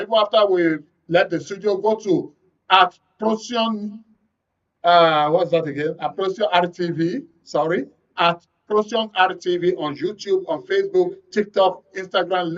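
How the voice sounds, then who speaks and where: A man's voice: high voice, fairly bright tone, recorded from a distance, dull audio. A man with a Nigerian accent hosting a live panel discussion on a radio and TV talk show. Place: radio and TV studio.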